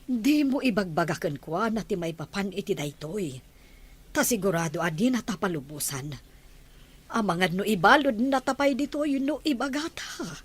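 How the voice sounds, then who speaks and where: A husky, secretive mistress. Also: female voice, mid-range voice, expressive, normal speed. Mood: anxious, determined. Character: secretive, weary mistress planning escape from the mansion. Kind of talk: Filipino Ilocano radio drama acting.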